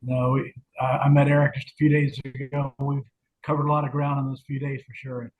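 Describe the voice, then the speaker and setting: A man giving a brief, slightly halting introduction as a guest on a video call. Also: medium pitch, level tone. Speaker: guest, developer. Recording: tinny phone-like line.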